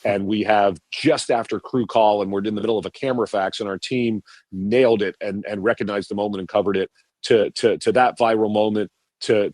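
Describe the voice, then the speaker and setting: A man's voice: lowish voice, a little mellow, no echo. A middle-aged man, a TV producer, answering podcast questions in a rambling way with many restarts and repeated words. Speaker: TV producer guest.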